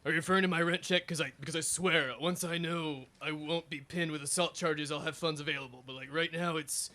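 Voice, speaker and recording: A man's voice: fairly high pitch, steady tone, fairly quick, sharp tone. A man playing a bumbling, self-important private detective who fumbles words in a parody noir radio serial. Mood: flustered.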